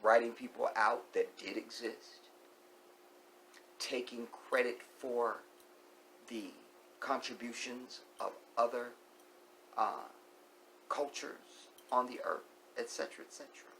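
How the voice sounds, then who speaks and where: A man vlogging an opinionated monologue. He repeats key phrases, asks the viewer rhetorical questions and sometimes sinks to a hushed whisper. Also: mid-range voice, fairly even intonation, slow pace, gravelly, pauses often, slight background noise, poor audio.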